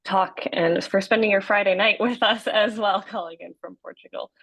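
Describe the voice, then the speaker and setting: A woman's voice: medium pitch, fairly even intonation, moderate pace, a bit bright, slightly rough, no echo. A woman hosting the event, thanking the speaker and opening the floor to questions over a video call. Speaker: event host.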